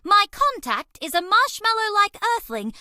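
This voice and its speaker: A woman's voice: very high-pitched, big pitch swings, normal speed, pushed and bright, no echo, studio quality, heavily acted. A woman voicing a bubbly, cheese-obsessed young alien rodent space traveler in a video game, with a perky, theatrical delivery. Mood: playful, dramatic. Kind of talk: animated game character voice lines.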